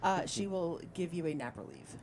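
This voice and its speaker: A woman's voice: lowish voice, expressive, normal speed, darkish tone, rough recording. A woman game master narrating a tabletop roleplaying game. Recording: prominent music behind the voice.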